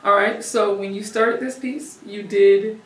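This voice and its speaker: A woman's voice: medium pitch, fairly even intonation, a little slow, distant mic. A woman co-hosting a sewing lesson, asking clarifying questions in an encouraging way. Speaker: co-host. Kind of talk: casual conversation during a lesson. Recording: echoey room, voice away from the mic.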